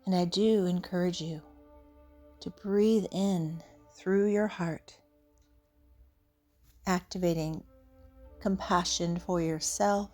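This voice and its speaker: A woman leading an unscripted guided meditation in a soothing, gentle, unhurried way with long pauses. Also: fairly low pitch. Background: soft background music.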